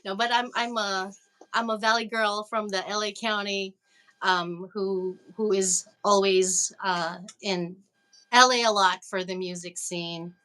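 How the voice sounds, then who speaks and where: A woman who was born in the Philippines and grew up in Los Angeles, an indie music artist speaking from a recording studio as an interview guest, chatty and quick to laugh. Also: mid-range voice, steady tone, pushed and bright, smooth. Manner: bubbly, laughs often, chatty. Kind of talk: casual interview over a call.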